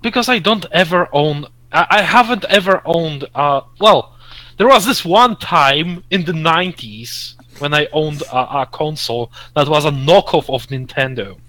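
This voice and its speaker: A man with a Russian accent chatting casually as a gaming podcast co-host, halting and repeating words. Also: fairly high pitch, lively intonation, sharp tone, dry recording, rough recording.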